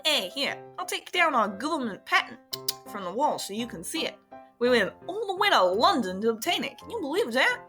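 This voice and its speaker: A woman's voice: high voice, big pitch swings, brisk, soft background music, heavily acted. A woman playing a genial, modest male inventor who talks proudly and a little hesitantly in a radio drama. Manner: hesitant, thoughtful, quietly proud. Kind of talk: scripted radio drama acting.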